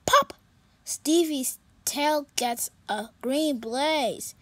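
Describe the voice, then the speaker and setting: A storyteller reading a children's picture book aloud in an expressive, animated way. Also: high voice, extremely slow, no room sound. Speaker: storybook reader.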